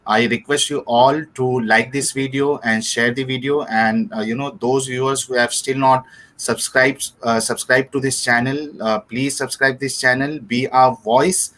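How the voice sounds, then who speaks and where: A man hosting a livestream, speaking politely with many pauses and fillers. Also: mid-range voice, fairly even intonation, fairly bright tone. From India. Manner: hesitant, many fillers, polite formal address. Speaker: livestream host. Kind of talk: casual livestream chat.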